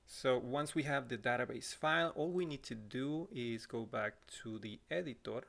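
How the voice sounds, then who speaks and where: Man with a Costa Rican accent, gravelly. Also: mid-range voice, moderate pace, a bit bright. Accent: Costa Rican Spanish. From Costa Rica. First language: Spanish. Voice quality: slightly scratchy throat. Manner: apologetic, talks while typing, self-correcting. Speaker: livestream coding instructor. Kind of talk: live coding walkthrough. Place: small room at home. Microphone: boom mic on arm.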